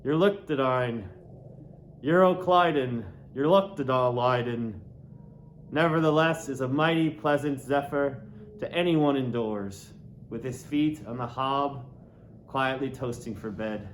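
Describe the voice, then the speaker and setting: A man reading a classic novel aloud in a deliberate, dramatic way, lingering on words. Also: mid-range voice, a little hiss or hum. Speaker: audiobook reader. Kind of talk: literary narration, theatrical reading of a classic novel.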